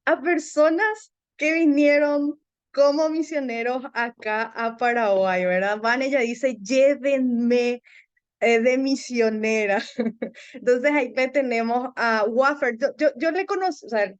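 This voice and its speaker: A woman's voice: on the high side, takes it slowly, lo-fi sound. A woman hosting a casual talk show, chatty and laughing easily as she welcomes her guests. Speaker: show host.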